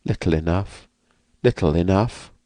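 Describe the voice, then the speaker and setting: A man voicing a frightened, fragile young woman who has escaped from an asylum, speaking softly and hesitantly. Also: deep voice, unhurried, no echo. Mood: fearful, wistful. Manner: hesitant, vacant, soft-spoken.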